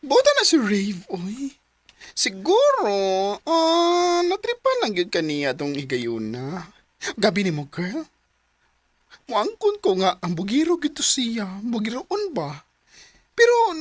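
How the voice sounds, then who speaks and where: A woman's voice: fairly high pitch, unhurried, no room sound, exaggerated voice. A young woman with a Cebuano accent, acting in a radio drama as a chatty friend who coaxes others. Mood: coaxing. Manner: coaxing, lively.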